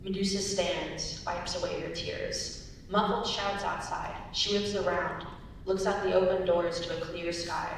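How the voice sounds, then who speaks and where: A woman's voice: steady tone, a little slow, creaky. A young woman reading scripted narration and stage directions as a narrator. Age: young adult. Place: large echoey indoor performance space. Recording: very echoey hall, distant mic, rumbly noise.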